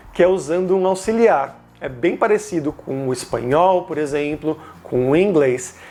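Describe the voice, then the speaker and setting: A man with a Brazilian accent speaks in a somewhat high-pitched voice. He teaches in an informal, explanatory way, like a video host walking learners through a lesson, and leans on key words for emphasis. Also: darkish tone. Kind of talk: informal grammar lesson to camera.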